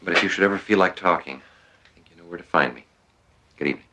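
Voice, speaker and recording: An actor playing a calm, patient male psychiatrist in a television drama, speaking gently and a little hesitantly as he probes. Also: low-pitched, fast, noisy background, natural voice. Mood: friendly, gentle. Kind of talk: naturalistic television drama dialogue.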